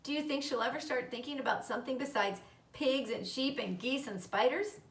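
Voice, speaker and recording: A fretful storybook mother, acted out by a woman reader, anxious and full of questions. Her voice sits fairly high and comes from a little way off the mic in an echoey room. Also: a little fast. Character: worried, nervous mother of a young girl. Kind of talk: children's book read-aloud. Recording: roomy echo, distant microphone.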